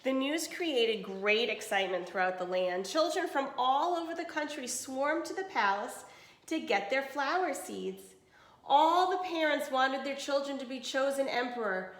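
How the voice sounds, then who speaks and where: A woman reading a children's story aloud with expression, pausing to explain and put questions to her listeners. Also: sits high, animated, roomy sound. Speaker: teacher reading a picture book aloud.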